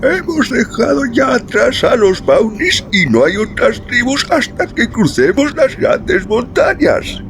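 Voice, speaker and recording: Man acting a high-pitched old pioneer leader. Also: big pitch swings, unhurried, hardly any pauses, background noise, full character voice. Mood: commanding, calm. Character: elderly commanding leader of frontier pioneers. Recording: music behind the voice.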